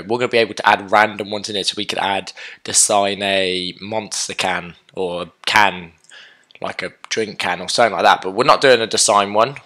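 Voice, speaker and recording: A man's voice: lowish voice, fairly bright tone. A young man with a sore throat and a fading voice, chatting casually through a coding tutorial with lots of fillers and self-corrections. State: sick, sore throat, losing his voice.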